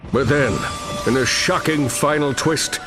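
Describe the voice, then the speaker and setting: Man playing a vain, swaggering space hero, higher-pitched. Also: a little slow, close to an everyday voice. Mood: boastful. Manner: pompous, self-aggrandizing. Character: vain, boastful male space hero and celebrity. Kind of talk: animated video game cutscene acting. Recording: music behind the voice.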